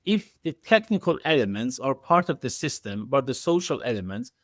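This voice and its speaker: A man's voice: mid-range voice, very animated, moderate pace, a bit bright, no room sound, studio quality. A man speaking as an expert podcast guest, explaining technical ideas in a hesitant, circling way with frequent self-corrections.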